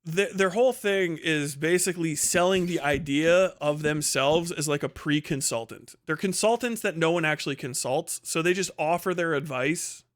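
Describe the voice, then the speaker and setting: Higher-pitched man with a clear voice, ranting bluntly. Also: a bit bright. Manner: profane, blunt, scornful. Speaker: livestream host and commentator. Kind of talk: casual opinionated rant to stream audience.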